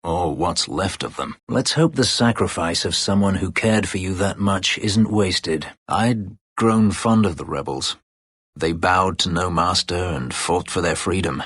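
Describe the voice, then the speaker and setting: An actor voicing a brooding, bitter escaped slave, an elf warrior in a fantasy game, with a husky, gravelly voice and a terse, guarded, formal manner. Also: male voice, low-pitched, measured pace, a bit bright, no echo, clean studio sound, heavily acted. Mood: somber, sincere. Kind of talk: dramatic fantasy game voice acting.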